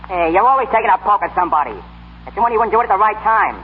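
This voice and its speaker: A man's voice: high-pitched, lively intonation, racing. A man acting a brash, bossy boxing manager in a 1930s radio play, with slangy, scolding, streetwise New talk. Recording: tinny, hissy 1930s radio recording.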